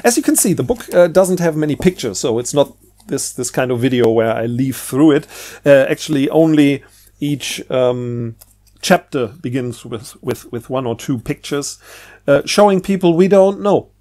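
A man giving a casual, unscripted vlog monologue as he presents a book, rambling and halting, often trailing off and rephrasing. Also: medium pitch, animated, warm tone.

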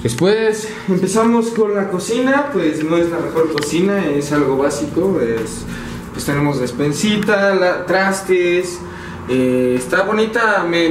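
A young man with a Mexican Spanish accent, chatty and full of slang as he addresses his viewers. His voice sits a little high, picked up by a camera held some distance away. The small rooms add a noticeable echo. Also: measured pace, background noise. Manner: slangy, energetic, talks to viewers as "banda". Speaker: vlogger. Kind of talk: casual house tour vlog. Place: small apartment, indoors. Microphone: handheld camera mic. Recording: echoey room, a bit off-mic.

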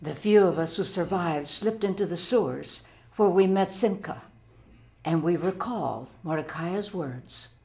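A somber female survivor, played by an older woman, gives witness in a solemn dramatic reading. Her voice sits fairly low. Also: unhurried, vocal fry, darkish tone.

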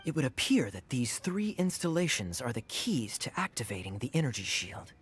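An actor playing a suave, teasing young knight captain in a video game, smooth, playful and sly. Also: male voice, mid-range voice, big pitch swings, moderate pace, a bit bright, soft background music. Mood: intrigued.

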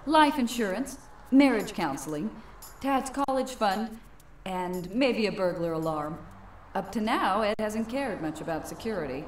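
A woman's voice: medium pitch, animated, measured pace, slight background noise, close to an everyday voice. A woman acting in a radio drama as a cool, scheming wife with a dry, businesslike manner. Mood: cool, wry.

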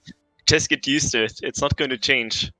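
Bright-voiced man, calm. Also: medium pitch, moderate pace, no room sound, low-quality recording. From Norway. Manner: calm, explanatory, dry quips. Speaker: streamer co-host. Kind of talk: casual gameplay chat. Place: small home room.

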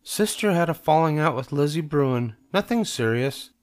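A man voicing a gentle, reassuring mother bear in a children's storybook. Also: fairly high pitch, expressive, moderate pace, no echo, voice pushed up, natural voice.

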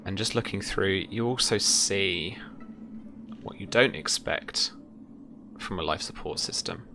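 Deep-voiced, slow-paced man narrating a game. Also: creaky, noisy background. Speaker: game master. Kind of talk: narrating a tabletop role-playing game. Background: background music.

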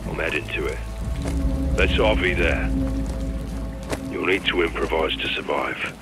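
A man playing a grim, terse special forces operative in a video game, in a low gravelly voice. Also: a little slow, exaggerated voice. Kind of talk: cinematic video game acting. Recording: loud music and rain behind.

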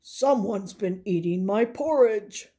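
A woman voicing a big father bear in a storybook, loud and growling. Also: medium pitch, lively intonation, takes it slowly.

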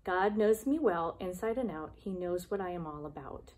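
A woman's voice: lowish voice, expressive, normal speed, darkish tone, a little hiss or hum. A woman, chatting casually and reading aloud for preschoolers, asking simple, encouraging questions. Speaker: preschool devotion host. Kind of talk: casual chat and reading aloud for young children. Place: small classroom, indoors.

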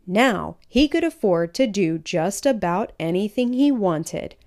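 Unhurried woman reading a picture book aloud. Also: medium pitch. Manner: animated, emphatic storytelling.

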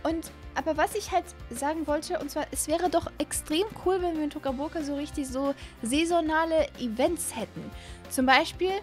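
A young woman chatting casually as she hosts a gaming video, informal and repeating words for emphasis, close on a microphone. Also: sits high. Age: young adult. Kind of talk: casual chat, game commentary. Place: small indoor room at home. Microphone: microphone on a boom arm close to the mouth.

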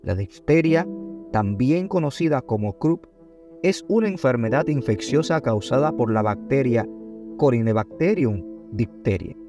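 A man's voice: medium pitch, expressive. Man narrating a documentary over music. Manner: measured, formal delivery in short phrases. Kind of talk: scripted biographical narration. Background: background music.

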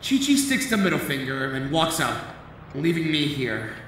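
Casting: a man as wry young anime inspector's inner monologue, heavily echoed, highish male voice. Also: big pitch swings, moderate pace, fairly bright tone. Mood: wry narration. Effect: echo on thought voice. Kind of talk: anime-style audio drama inner monologue. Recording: heavy echo, faint noise.

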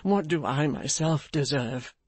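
Audiobook character, acted by a narrator: gentle gentleman crushed by guilt, slow, weary, repeating himself in sorrow. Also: medium pitch, no room sound, natural voice. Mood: anguished.

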